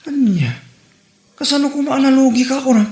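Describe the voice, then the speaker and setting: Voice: high-pitched, animated, moderate pace, a little mellow. A performer playing a casual, teasing fellow tricycle driver in a comedy drama. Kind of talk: comedy radio drama acting. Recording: roomy echo, music behind.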